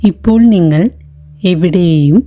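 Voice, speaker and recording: A woman leading a guided meditation in a gentle, calm, deliberate way, leaving long pauses between short phrases. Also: low-pitched, soft background music. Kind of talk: slow guided meditation narration.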